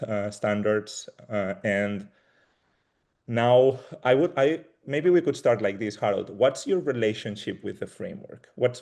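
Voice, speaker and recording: Low-voiced man hosting a webinar. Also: darkish tone. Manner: halting, many repeats and fillers. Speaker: webinar host. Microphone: video call.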